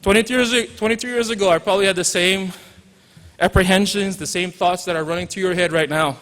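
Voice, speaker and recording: A man's voice: high-pitched, steady tone, quick pace, fairly bright tone. A man in his forties from Hawaii with a Hawaiian accent, a teacher giving an informal graduation speech with joking asides and bits of Pidgin. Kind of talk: commencement speech. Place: large hall. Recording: reverberant hall audio.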